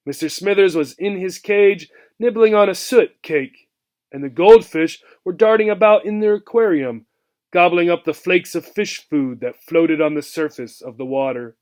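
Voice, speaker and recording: A man with a slightly high voice reads a children's book aloud. His narration is expressive, in the style of a teacher telling a story to young listeners. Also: normal speed. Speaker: reader, teacher.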